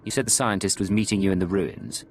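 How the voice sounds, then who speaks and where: A man voicing a cold, controlled secret agent in a video game, measured and clinical. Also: deep voice, lively intonation, creaky, a little hiss or hum. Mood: businesslike.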